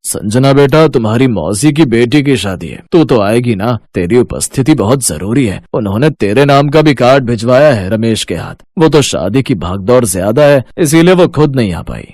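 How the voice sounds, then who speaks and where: Gentle cartoon dad, man voicing him, affectionate, dry and slightly distant. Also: medium pitch, animated, normal speed, few pauses, no room sound, natural voice. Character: caring older father on the phone.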